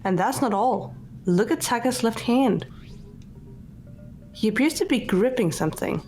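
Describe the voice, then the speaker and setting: A woman's voice: fairly high pitch, lively intonation, a little slow, darkish tone, soft background music. A woman voicing a composed, blunt teenage girl detective in an amateur visual novel dub, speaking in a controlled, matter-of-fact way. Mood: analytical.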